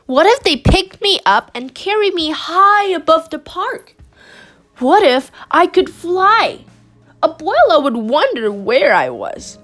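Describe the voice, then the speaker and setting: A woman reading a picture book aloud in a gentle, expressive way. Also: very high-pitched, slow, soft background music. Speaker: storybook reader.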